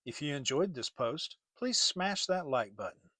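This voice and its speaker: A woman narrates a children's audiobook in a dramatic style. Her voice sits low and is rather husky. The pitch moves around a great deal, very animated. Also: a little slow, no echo. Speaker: storybook narrator.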